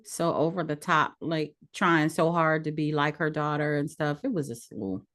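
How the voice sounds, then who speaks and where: A woman in her forties with a lowish voice co-hosts a casual podcast. She gives blunt, opinionated takes and laughs easily. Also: fairly even intonation, moderate pace, a bit bright. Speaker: podcast co-host. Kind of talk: casual chat.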